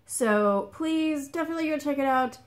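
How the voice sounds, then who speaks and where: A woman in her thirties talking casually to camera from a living room couch, chatty, with frequent ums and lively hand gestures. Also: sits high, moderate pace. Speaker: vlogger. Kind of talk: casual direct-to-camera vlog.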